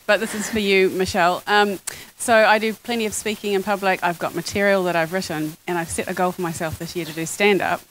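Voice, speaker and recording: A woman's voice: medium pitch, fairly even intonation, fairly quick, hardly any pauses, fairly bright tone, a little hiss or hum, rough recording. A woman in the audience asking a chatty, self-mocking question. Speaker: audience member.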